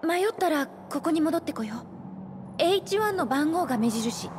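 An actress playing a kind, upbeat young woman in a video game, speaking in a gentle, friendly, conversational way. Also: high-pitched, lively intonation, normal speed, noisy background. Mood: thoughtful, encouraging. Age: young adult. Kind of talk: dramatic game cutscene acting. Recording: loud music behind the voice.

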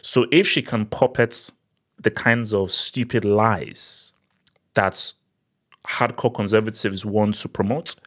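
Thirties Nigerian-accented male commentator; opinionated, sarcastic, animated; small-studio desk-mic sound. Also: fairly low pitch, slow pace. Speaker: political commentary vlogger. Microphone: desk mic on boom arm.

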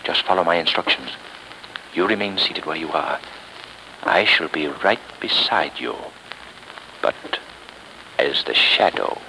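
An actor playing a confident, shrewd gentleman sleuth and secret avenger, measured and dramatic in 1930s radio style. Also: rumbling low, animated, full character voice. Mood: hushed urgent. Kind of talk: 1930s radio mystery acting. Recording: noisy 1938 radio recording.

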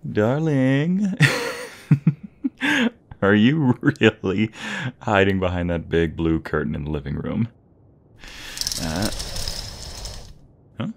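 A man playing a playful, doting young husband in an ASMR roleplay, with a breathy voice that often slips toward a whisper and a teasing, affectionate delivery. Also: fairly low pitch, expressive, heavily acted. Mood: playful, calling out. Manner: teasing, affectionate, drawn-out delivery with pet names.

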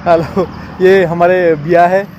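A man's voice: high voice, little pitch movement, takes it slowly, a little mellow, muffled recording. A man hosting a casual street interview in an encouraging, approving way. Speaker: interviewer and video host. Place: outdoors on an open roadside. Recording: faint outdoor street noise.

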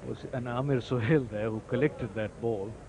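A man giving ball-by-ball cricket commentary, lifting his voice sharply when wickets fall. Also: mid-range voice. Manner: excited rises on wickets, measured otherwise. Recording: noisy old broadcast tape.

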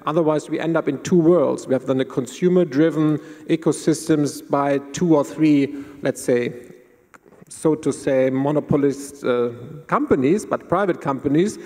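A man talking as a conference panelist in halting, piecemeal phrases. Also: a little high, darkish tone. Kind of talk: panel discussion. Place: large conference hall stage, indoors. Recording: reverberant hall.

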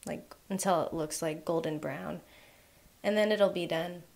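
A woman's voice: low voice, measured pace, gravelly. Casual young woman talking through a recipe. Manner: relaxed, filler-heavy instructions with frequent "um" and "like". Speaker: cooking channel host.

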